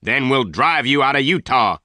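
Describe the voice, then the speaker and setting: A man's voice: sits low, unhurried, pushed and bright, no echo, studio quality. A man voicing an angry, jealous and commanding boss in a western story, speaking in threats. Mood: threatening.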